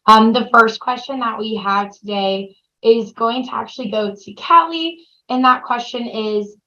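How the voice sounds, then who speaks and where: A woman's voice: fairly high pitch, measured pace, bright, forceful tone. Upbeat woman moderating over a dull call line. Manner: upbeat, reads out questions. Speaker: session moderator. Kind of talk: webinar Q&A moderating.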